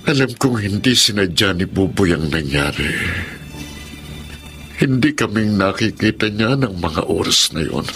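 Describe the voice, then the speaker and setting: An actor playing a grieving old widowed father in a radio drama, speaking slowly and heavily with sorrow. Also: deep voice, lively intonation, a bit bright, exaggerated voice. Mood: mournful. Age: older. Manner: slow, heavy-hearted musing. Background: music.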